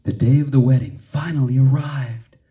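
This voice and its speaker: A man reading a children's story aloud expressively, with measured pauses and emphasis for drama. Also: mid-range voice, steady tone, warm tone. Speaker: storybook reader.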